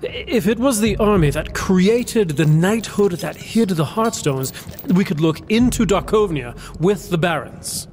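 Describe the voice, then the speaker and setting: A man's voice: high-pitched, lively intonation, a little slow, darkish tone, a little hiss or hum. A man playing a thoughtful, musing strategist at a fantasy council in an audio drama.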